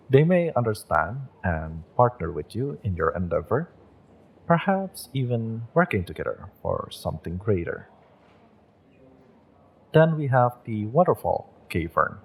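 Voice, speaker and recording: A man playing a gentle, encouraging magick shop owner and tarot reader in an intimate audio roleplay, speaking in a measured, mystical, reassuring way. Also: low-pitched, breathy edge, heavily acted.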